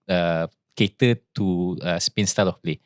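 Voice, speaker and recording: A man with a Malaysian English accent co-hosting a football chat, making his points in an animated, argumentative way. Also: deep voice, normal speed, smooth, no echo, clean studio sound. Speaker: podcast co-host.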